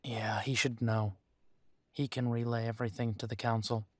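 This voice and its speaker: A man playing a protective, weary but determined werewolf pack leader in an intimate roleplay audio drama, speaking deliberately with pauses. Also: medium pitch, level tone, dry recording, lightly acted. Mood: wary, brooding.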